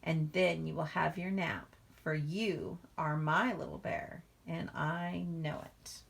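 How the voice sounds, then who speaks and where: A woman voicing a patient, gently teasing mother bear from a storybook in a measured, motherly, matter-of-fact way. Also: sits low, fairly even intonation, vocal fry, husky, full character voice. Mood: gentle.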